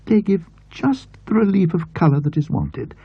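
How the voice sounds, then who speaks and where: A man voicing a gushing, imperious older English gentlewoman, an effusive aunt in an audiobook story. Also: high-pitched, very animated, moderate pace, gravelly, slightly rough, no room sound, muffled recording, pitched above the performer's own voice, exaggerated voice. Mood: enraptured. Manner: effusive, emphatic. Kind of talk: audiobook character voice.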